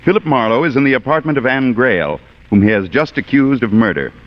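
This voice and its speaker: Theatrical male radio presenter, very lively intonation. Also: medium pitch, a little fast, loud music behind the voice. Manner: formal, theatrical. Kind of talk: 1940s scripted drama introduction. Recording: muffled vintage 1940s radio broadcast.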